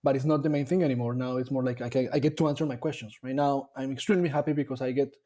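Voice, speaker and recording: A man from Colombia, a dairy nutrition scientist talking about his career in a casual interview, pausing often to rethink his words. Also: medium pitch, fairly even intonation, warm tone. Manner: thoughtful, with frequent fillers and restarts.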